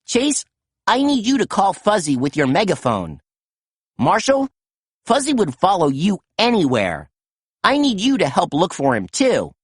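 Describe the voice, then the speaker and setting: A woman's voice: on the low side, wildly swooping pitch, measured pace, slightly rough, no room sound, studio quality, full character voice. A woman voicing a confident, caring boy who leads rescue pups in a storybook, giving urgent instructions.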